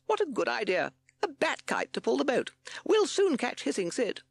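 A woman voicing a blustering, excitable storybook captain who gives loud, commanding orders. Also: fairly high pitch, moderate pace, creaky, slightly rough, no room sound, pitched above the performer's own voice, heavily acted. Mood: pleased.

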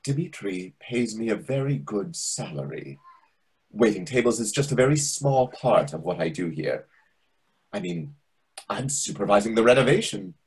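A man's voice: lowish voice, expressive, moderate pace, natural voice, poor audio. A middle-aged man playing a chatty, nosy, self-important waiter in a comedy play reading, pompous and insinuating. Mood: boastful. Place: indoors on a video call. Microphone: video call microphone.

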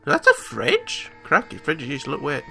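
Bright, higher-pitched man gaming casually. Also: wildly swooping pitch, moderate pace, gravelly, soft background music. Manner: jokey, swearing, playful baby-talk. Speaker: let's play host. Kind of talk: casual improvised game commentary.

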